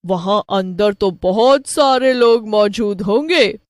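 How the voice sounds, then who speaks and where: A woman's voice: medium pitch, slow, darkish tone, dry recording, studio quality, lightly acted. A woman voicing a gloating, bloodthirsty witch with a menacing, cackling manner in a cartoon horror story. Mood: scheming, gleeful. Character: gloating, bloodthirsty witch who preys on people.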